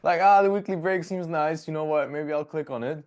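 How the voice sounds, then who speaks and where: A young man hosting a casual podcast over a video call from his bedroom, talking in a loose, rambling way with quick jokes. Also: fairly high pitch. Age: young adult. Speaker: podcast host. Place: bedroom at home. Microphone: video call webcam.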